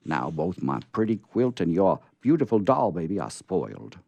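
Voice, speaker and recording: A stern, excitable farm woman from an old storybook, as a male reader puts her on. Her speech is emphatic and swoops up and down, with a gravelly edge. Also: low-pitched, normal speed, dry recording, exaggerated voice. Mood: scolding.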